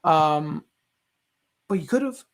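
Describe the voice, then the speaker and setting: Male panelist, higher voice, casual discussion, animated storyteller who acts out reactions. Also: slow pace. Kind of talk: casual movie discussion chat.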